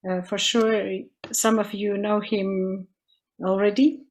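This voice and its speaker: A woman opens an online lecture, speaking slowly and hesitantly, with frequent fillers. She introduces the guest speaker over a video call. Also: medium pitch, little pitch movement, low-quality recording. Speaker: lecture host.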